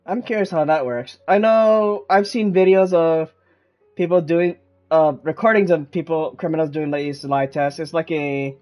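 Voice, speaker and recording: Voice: medium pitch, muffled recording. A gamer giving casual, rambling, hesitant commentary while playing. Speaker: gaming streamer. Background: game music.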